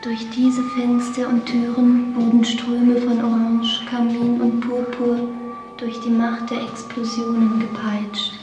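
An actress playing a ceremonious guide in a science fiction radio play, speaking in a formal, measured tour-guide manner. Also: female voice, a little high, completely flat delivery, rough recording. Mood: solemn, formal. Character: ceremonious female guide of a sci-fi pleasure house. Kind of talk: scripted radio drama acting. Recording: music behind the voice.

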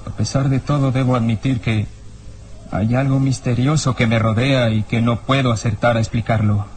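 An actor playing a hard-nosed, uneasy police inspector in a radio serial, with a theatrical, menacing way of questioning. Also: male voice, medium pitch, fairly even intonation, normal speed, a little mellow, a little hiss or hum, lightly acted. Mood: uneasy, brooding. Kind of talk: old radio serial acting. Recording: radio drama with music underneath.

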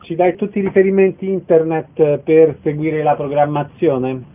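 Higher-voiced male radio interviewer, narrow sound. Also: level tone, moderate pace.

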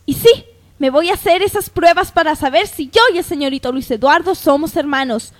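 A woman's voice: high-pitched, brisk, natural voice. A woman playing a polite, proud young woman in an amateur radio soap opera, earnest and deferential. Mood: defiant.

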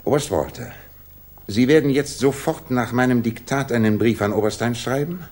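A man's voice: medium pitch, moderate pace, a little mellow, lightly acted. An actor in a German radio drama playing a shrewd, commanding male detective who dictates with precise authority.

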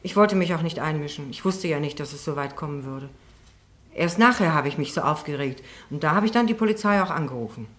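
Actress as anxious female witness, very low voice, hesitant and deferential, radio courtroom drama. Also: fast talker, natural voice. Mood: flustered, defensive. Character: timid, anxious woman witness testifying in court.

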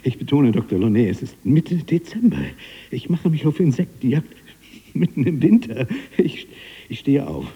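An actor playing a learned, fearful prisoner in a brooding radio drama monologue, his husky, breathy voice halting and given to whispers. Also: low voice, lively intonation, mellow, highs cut off, exaggerated voice. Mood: agitated.

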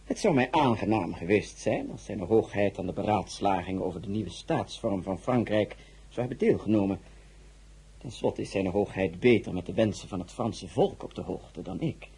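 An actor playing a suave, imperious tsar in a radio drama, speaking formally and in measured phrases with a husky voice. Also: male voice, lowish voice, expressive, mellow, full character voice. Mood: courtly. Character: suave, imperious Tsar of Russia, courtly and probing.

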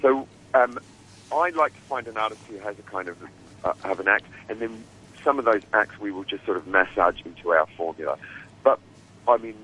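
A man's voice: fairly low pitch, fairly quick, sharp tone, slightly rough, low-quality recording. A man with an Australian accent, a guest producer talking casually in an interview, rambling with many restarts and fillers.

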